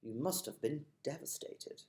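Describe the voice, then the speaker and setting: A man voicing a kind, polite, old-fashioned elderly gentleman tramp in a storybook reading. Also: mid-range voice, measured pace, reverberant, natural voice. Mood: sympathetic. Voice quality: genteel, proper old-fashioned manner.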